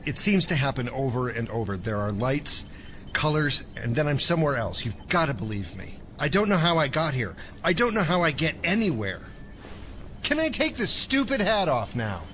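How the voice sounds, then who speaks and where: A man's voice: on the high side, big pitch swings, moderate pace, a bit bright, a little hiss or hum. A man playing a confused, exasperated captive ex-agent defending himself in an audio drama. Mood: exasperated, insistent. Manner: insistent, exasperated.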